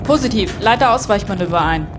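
A woman playing a terse, sardonic space station captain in a science fiction audio drama, brisk and dry in her commands. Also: medium pitch, animated, close to an everyday voice. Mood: businesslike. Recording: music bed behind voice.

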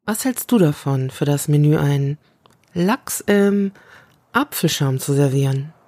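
A woman's voice: deep voice, unhurried, warm tone, no room sound, clean studio sound. A woman acting as an overwhelmed, emotional cook in a German audio drama, hesitant and intense. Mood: casual, teasing. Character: ironic, emotional, overwhelmed young female cook.

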